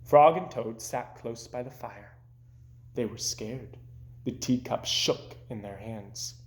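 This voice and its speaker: A man reading a children's story aloud as narrator, expressive, gentle and deliberate. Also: mid-range voice. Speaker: storybook reader.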